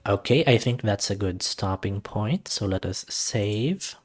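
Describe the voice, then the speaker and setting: A man with a fairly low voice reads a visual novel aloud and chats casually between passages. His manner is soft-hearted and a little gushing. Faint music plays behind him. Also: lively intonation, measured pace. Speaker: let's-read video host reading a visual novel. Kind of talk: casual reading aloud and chat.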